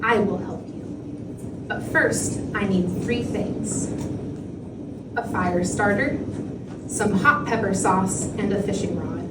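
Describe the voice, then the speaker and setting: A woman voicing a small, clever male rabbit from a storybook, with a calm, innocent manner that hides his tricks. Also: mid-range voice, slow, pauses often, close to an everyday voice. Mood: determined. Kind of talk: storybook character voice. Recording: echoey, noisy room, distant mic.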